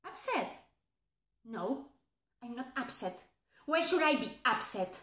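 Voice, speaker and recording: A woman's voice: a little high, sing-song, slow, a bit bright, full character voice. An actress playing a heartbroken young woman being dumped who feigns indifference, in a theatrical monologue. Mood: hurt, defensive. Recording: echoey, roomy sound.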